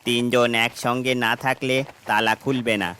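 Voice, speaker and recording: A man's voice: mid-range voice, little pitch movement, measured pace, sharp tone, slight background noise. A man voicing a sly young captive in a cartoon fairy tale, crafty and persuasive as he spins tales. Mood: crafty, storytelling. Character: sly young male captive spinning tales to sow distrust.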